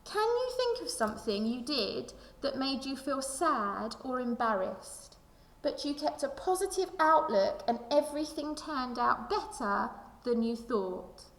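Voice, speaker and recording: A woman's voice: sits high, sing-song, a little slow. Woman reading aloud in an echoey room. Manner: addresses young listeners directly. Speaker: primary school teacher reading aloud to children. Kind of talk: expressive children's storybook read-aloud with reflective questions. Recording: echoey room with long reverb.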